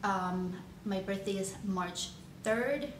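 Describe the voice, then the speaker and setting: A chatty woman in her thirties talks to the camera in a loose, rambling way, with plenty of ums and likes. Her voice sits at a middle pitch for a woman. The room sounds echoey, and a clear background hiss runs under her voice. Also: takes it slowly. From Chicago, United States. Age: 30s. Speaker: nail artist vlogger. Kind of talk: casual Q&A vlog. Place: home room with shelves, indoors.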